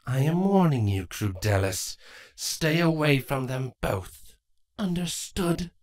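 A man's voice: medium pitch, expressive, slow pace, pitched above the performer's own voice. A man voicing a stern, protective changeling queen, formal, curt and wary, in a dramatic fan fiction reading. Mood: threatening.

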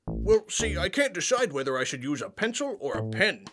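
A man's voice: high voice, sing-song, normal speed, over music. A man voicing an indecisive, childlike, friendly monster puppet for a kids' show, exclaiming and drawing out words as he dithers. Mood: friendly, unsure.

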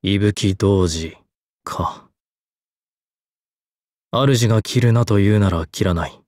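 A man's voice: fairly low pitch, slow pace, a little mellow, dry recording, clean studio sound. An actor playing a cold, dutiful young swordsman in a game, speaking in a terse, restrained, matter-of-fact way. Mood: stoic, restrained. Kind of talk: Japanese game character voice lines.

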